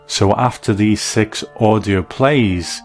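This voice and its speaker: A man's voice: fairly low pitch, takes it slowly, faint music behind. A man hosting a documentary podcast, reading scripted narration. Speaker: podcast host and interviewer.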